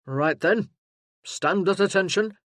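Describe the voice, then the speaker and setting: A narrator voicing a burly, stern former army sergeant who barks orders at a prisoner. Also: male voice, high voice, takes it slowly, fairly bright tone, no echo, studio quality, voice pushed up. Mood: commanding. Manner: barking, commanding.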